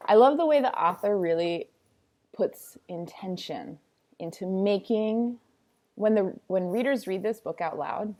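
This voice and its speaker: A woman's voice: mid-range voice, animated, unhurried, darkish tone. A woman reading a picture book aloud like a teacher, with a sing-songy, playful, dramatic delivery and chatty asides.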